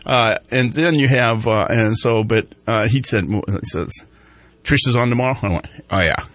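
A man hosts a small-town morning radio show in a loose, chatty way, and he restarts his sentences and fills gaps with "uh". The studio sound is dry and close, with no room echo. His pitch sits in an ordinary middle range. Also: moderate pace, fairly bright tone. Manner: rambling, with many fillers and restarts. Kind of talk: casual morning radio talk. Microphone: studio microphone.

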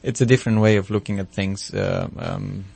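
A low, gravelly male voice with a Romanian accent. He is a doctor talking through a radio interview in his thirties, stopping and restarting with many ums as he gathers his thoughts. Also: steady tone, brisk, darkish tone. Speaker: physician guest.

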